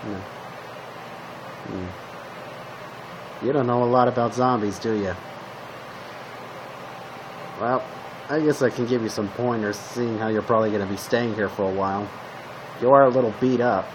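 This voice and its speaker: Male, mid-range voice, calm survivor role in an improvised roleplay, unhurried short fragments, clear hum on a homemade recording. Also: medium pitch. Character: calm male zombie apocalypse survivor giving weapon tips. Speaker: roleplay video creator playing a zombie survivor. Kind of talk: casual improvised roleplay monologue to the listener.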